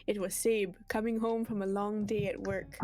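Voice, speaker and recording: A woman's voice: medium pitch, level tone, normal speed. A giggly woman reading a story comically on a stream, breaking off to make asides. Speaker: streamer reading fan fiction aloud.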